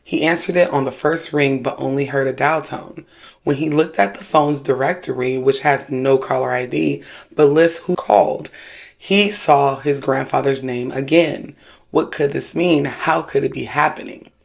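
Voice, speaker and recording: Creaky-voiced, sassy video host. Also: mid-range voice, fairly even intonation, moderate pace. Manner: sassy, slangy, emphatic. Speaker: YouTube host and spiritualist storyteller. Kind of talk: casual commentary with read-aloud paranormal stories.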